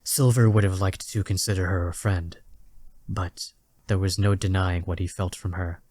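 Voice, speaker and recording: A man narrating a scripted dramatic story in a measured, literary storytelling manner. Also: sits low, fairly even intonation, darkish tone, no echo, studio quality.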